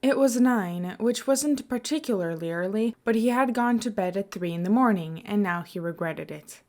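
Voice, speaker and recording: A woman's voice: medium pitch, lively intonation, normal speed, darkish tone. A woman reading fiction aloud as a scripted podfic narration.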